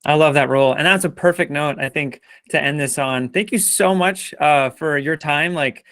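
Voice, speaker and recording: A man's voice: a little high, moderate pace, fairly bright tone, dry recording, studio quality. A man hosting a casual interview in an informal, enthusiastic way. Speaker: interview host.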